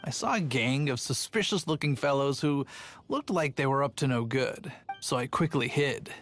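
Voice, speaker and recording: An actor playing a chatty, somewhat cowardly young city guard in a video game. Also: male voice, medium pitch, animated, moderate pace, a bit bright, faint music behind. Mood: boastful. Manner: chatty and boastful, a bit sheepish.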